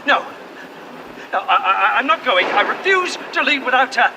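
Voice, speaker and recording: A man's voice: extremely high pitch, lively intonation, moderate pace, gravelly, very noisy, heavily acted. A man playing a frantic stammering young man. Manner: shouting, stammering, swearing.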